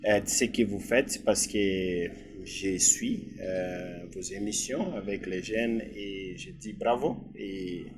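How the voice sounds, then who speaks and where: Man from DR Congo, middle-aged guest, halting and thoughtful. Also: deep voice, background noise. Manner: hesitant, many filler sounds. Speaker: guest physician. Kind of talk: interview answers.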